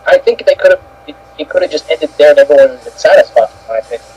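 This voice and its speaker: A man's voice: a little high, animated, very fast, dark tone, breathy edge. A man giving his view as a panelist in casual talk, hesitantly searching for his words. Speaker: panelist on an opinion show. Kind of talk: casual opinion chat. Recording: dull, muffled lo-fi sound.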